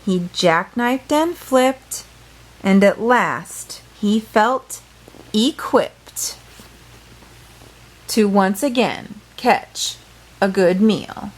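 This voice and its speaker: Slow-paced woman reading a children's story. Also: mid-range voice, expressive, rough recording. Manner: playful, dramatic. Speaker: storyteller reading a picture book aloud. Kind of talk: expressive children's read-aloud with brief asides.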